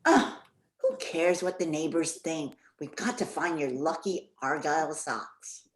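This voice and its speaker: A woman's voice: deep voice, lively intonation, a little slow. Older lady acting a practical exasperated wife in a radio skit, very up and down, roomy sound. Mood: exasperated, placating. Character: exasperated, practical housewife soothing her fussy husband. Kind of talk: amateur comic radio play acting.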